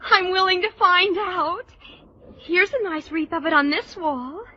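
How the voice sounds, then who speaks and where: A woman's voice: very high voice, a little slow, pushed and bright, exaggerated voice. A woman playing a sweet, coy, smitten teenage schoolgirl with an American accent in an old-time radio sitcom. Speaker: actress. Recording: muffled vintage radio recording.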